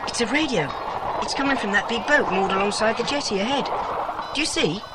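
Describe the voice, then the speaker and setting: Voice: medium pitch, animated, brisk, loud music behind the voice, close to an everyday voice. A performer playing a sensible, keen, well-spoken boy in a children's audio adventure drama. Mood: matter-of-fact. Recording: very noisy older dramatised recording.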